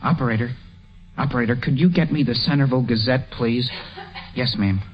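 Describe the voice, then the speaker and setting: A man's voice: medium pitch, fairly quick, over music, close to an everyday voice. An actor playing an earnest, scheming teenage boy with a hesitant stammer in a 1940s radio comedy. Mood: polite, hesitant. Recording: muffled old radio broadcast recording.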